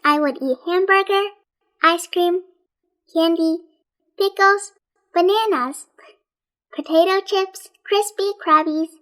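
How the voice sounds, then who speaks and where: A woman puts on a chattering little girl from a children's storybook. The voice is very high, emphatic and sing-song, as if working through an endless list. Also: a bit bright, heavily acted. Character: chattering young girl endlessly reciting lists. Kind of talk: storybook character reading.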